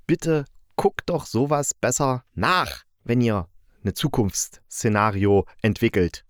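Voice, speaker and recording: A man's voice: medium pitch, lively intonation, takes it slowly, no room sound, clean studio sound. A man giving a casual, colloquial and opinionated spoken review, retelling stories as a host. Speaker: host reviewing audio dramas.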